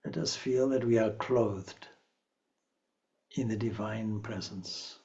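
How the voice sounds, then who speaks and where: A man leading a guided meditation as a spiritual teacher, speaking calmly and in a measured way with long pauses. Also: fairly low pitch, muffled recording.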